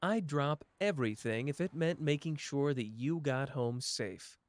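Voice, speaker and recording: A man doing a slow, flirtatious charmer. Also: mid-range voice, lively intonation, no room sound, lightly acted.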